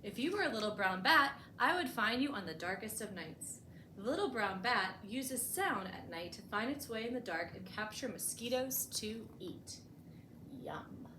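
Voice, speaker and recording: A woman reading a picture book aloud for children as a storytime host, expressively and with deliberate emphasis, adding short explanatory asides. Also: mid-range voice, expressive. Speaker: librarian hosting a bedtime storytime. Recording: echoey room with clear background noise.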